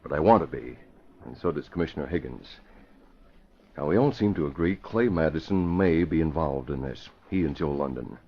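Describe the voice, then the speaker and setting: An actor playing a composed, thoughtful crime fighter, measured and deliberate, in an old-time radio drama. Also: male voice, rumbling low, expressive, husky, exaggerated voice. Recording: muffled vintage radio recording.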